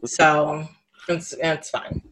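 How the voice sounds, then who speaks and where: A woman co-hosting a podcast, chatting casually about a movie in a rambling, animated way. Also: low voice, extremely slow. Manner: animated, rambling, frequent "like" and laughter. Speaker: podcast co-host.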